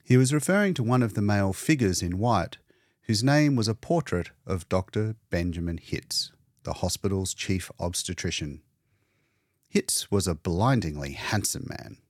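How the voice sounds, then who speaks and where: A man narrating a scripted story as an audiobook reader, with deliberate, dramatic phrasing. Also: deep voice, lively intonation, no room sound, studio quality.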